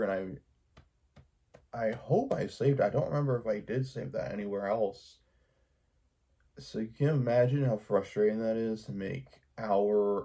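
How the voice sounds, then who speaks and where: A man with a gravelly, husky voice talking casually and haltingly in a vlog monologue, as a YouTuber would. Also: on the low side, mellow.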